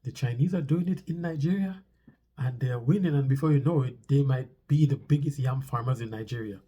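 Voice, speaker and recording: A man speaks in a strongly husky, congested voice with a dark, relaxed tone. He explains things casually to his viewers as a vlog host. He often repeats short phrases to stress a point. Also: mid-range voice, a little fast, muffled recording. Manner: conversational, repeats phrases for emphasis. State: stuffy nose from a cold.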